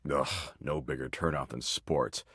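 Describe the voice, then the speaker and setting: A man's voice: very deep voice, fairly even intonation, measured pace, fairly bright tone, slightly rough, dry recording, clean studio sound, full character voice. A man voicing a video game embodiment of existential dread, a jaded, foul-mouthed slacker with a flippant, laid-back, slangy drawl. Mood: dismissive, bored. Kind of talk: video game character voice lines.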